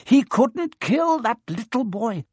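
A man's voice: extremely high pitch, big pitch swings, takes it slowly, breathy edge, dry recording, studio quality, heavily acted. A man voicing a stern, prim older woman professor of witchcraft who talks in a clipped, impatient way. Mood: shaken. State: trembling with shock at the end. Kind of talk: audiobook character voice.

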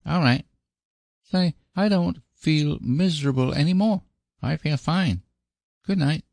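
A man's voice: on the high side, unhurried, gravelly, darkish tone, no echo, clean studio sound, pitched above the performer's own voice. A man voicing a guilty, eager little boy in a storybook, childlike, earnest and confessional. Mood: relieved, eager. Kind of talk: storybook character voice.

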